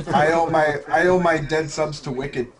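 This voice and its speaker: A man's voice: a little high, fairly even intonation, moderate pace. A man chatting casually on a podcast, joking, laughing often and swearing freely.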